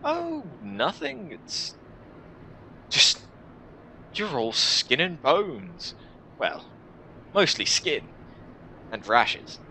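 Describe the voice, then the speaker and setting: A man voicing a teasing female companion in a fantasy game, chiding in a halting way. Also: mid-range voice, wildly swooping pitch, pushed and bright, a little hiss or hum, exaggerated voice, poor audio.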